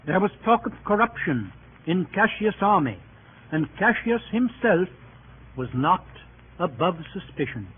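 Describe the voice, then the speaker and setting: A man's voice: high voice, very animated, measured pace. A man narrating an old-time radio drama from a script in a formal, dramatic manner. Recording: tinny, noisy old radio recording.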